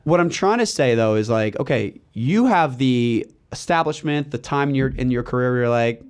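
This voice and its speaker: A young man in his twenties talks on a casual podcast, with a middle-pitched voice. He tells his stories with lively energy and acts out the lines of other people as he goes. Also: normal speed, clear, resonant tone. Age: 20s. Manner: animated storyteller, acts out quotes. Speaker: DJ and podcast co-host.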